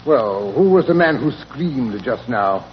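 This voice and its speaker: An actor playing a fussy, grumbling but amiable English gentleman sidekick, with a British accent, in a 1940s radio drama. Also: medium pitch, animated, takes it slowly. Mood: puzzled. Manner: fussy, blustering, indignant. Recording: muffled, noisy 1940s radio recording.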